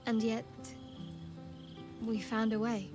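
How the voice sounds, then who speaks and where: A woman playing a young cleric of a dark goddess in a video game, devout and guarded, with a sly, teasing, measured way of talking. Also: fairly high pitch, flat delivery, music in the background. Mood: coy, teasing. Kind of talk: video game character dialogue.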